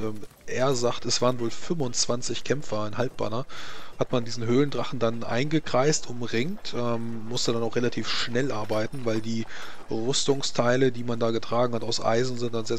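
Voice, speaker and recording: A man improvising narration as game master of a tabletop roleplaying game, haltingly searching for words. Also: medium pitch, slight background noise.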